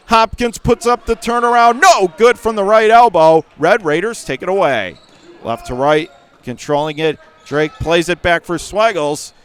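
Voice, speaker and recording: High-pitched male basketball play-by-play announcer. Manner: clipped phrases, bursting into excited calls on big plays. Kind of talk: live radio basketball commentary. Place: courtside in a high school gym during a game.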